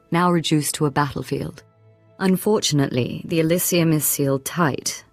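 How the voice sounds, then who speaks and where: Young noblewoman, deep female voice, dry sound, stately, diplomatic, game dialogue. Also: darkish tone, no echo. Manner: formal, stately, composed. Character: young noblewoman house leader, poised and diplomatic. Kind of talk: scripted video game dialogue.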